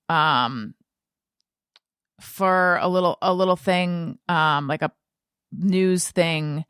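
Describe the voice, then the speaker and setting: A woman's voice: on the low side, fairly bright tone, clear, resonant tone, no room sound, studio quality. A woman podcast host chatting casually in a rambling, self-deprecating way, with "like" sprinkled throughout. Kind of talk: casual chat.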